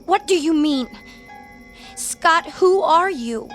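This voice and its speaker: A woman's voice: very high-pitched, expressive, slow pace, a bit bright, soft background music, exaggerated voice. An actress playing a frightened, tender young woman with an anxious, pleading manner in a radio drama. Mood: alarmed, pleading. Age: young adult.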